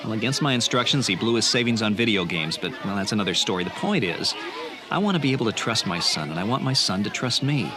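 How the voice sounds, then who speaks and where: A man's voice: fairly low pitch, big pitch swings, quick pace, a crowd in the background. A man playing a stern, concerned father who lectures firmly in a family radio drama. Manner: firm, emphatic, lecturing. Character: stern, concerned father defending trust with his son.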